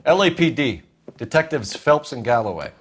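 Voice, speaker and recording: An actor playing a stern, principled 1940s American police detective in a video game, with a clipped, insistent, businesslike manner. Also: male voice, mid-range voice, very animated, moderate pace, slight background noise, natural voice. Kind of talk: scripted dramatic game acting, police questioning.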